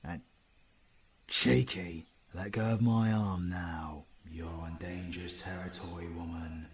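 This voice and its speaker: A man's voice: low voice, slight background noise. A British man playing a cocky, sarcastic, menacing supervillain in an audio roleplay. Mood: cold, menacing. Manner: sarcastic, theatrical, foul-mouthed British slang. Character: cocky, taunting supervillain, flippant yet menacing killer. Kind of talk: ASMR audio roleplay acting.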